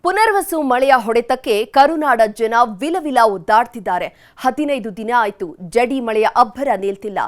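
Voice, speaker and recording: A woman's voice: a little high, expressive, normal speed. A woman reading scripted news headlines with emphatic drama.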